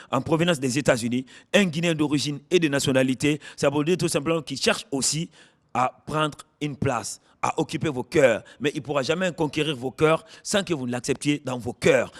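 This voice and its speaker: A man with a Guinean accent hosting a TV music show, interviewing an artist and addressing viewers in declamatory phrases. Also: on the high side. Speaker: TV show host. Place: at the artist's home.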